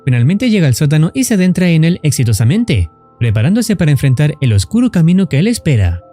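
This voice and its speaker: A man's voice: on the high side, sing-song, fast, mellow, soft background music. A man reading a scripted narration as the narrator of a horror story recap.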